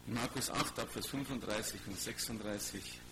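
A man's voice: lowish voice, moderate pace. A middle-aged man preaching a sermon in a colloquial, exhorting way, putting rhetorical questions to his listeners and reading scripture. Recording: clearly noisy recording with hum.

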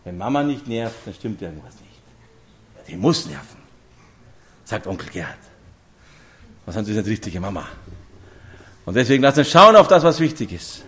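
A man's voice: fairly low pitch, very animated, moderate pace, long pauses, a bit bright. A man, preaching a sermon to a congregation and telling stories with direct questions to his listeners. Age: 50s.

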